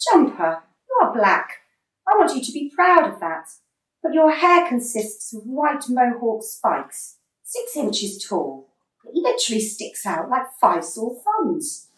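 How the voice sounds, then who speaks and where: A woman's voice: fairly high pitch, a bit bright, full character voice. A woman acting a blunt, exasperated female football manager in a radio play, scolding and emphatic. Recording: roomy, distant microphone.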